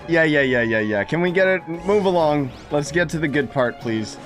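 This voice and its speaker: A man's voice: on the high side, lively intonation, moderate pace, a bit bright. A man giving casual, sarcastic playthrough commentary as a gaming streamer, with a mocking edge. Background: faint game music.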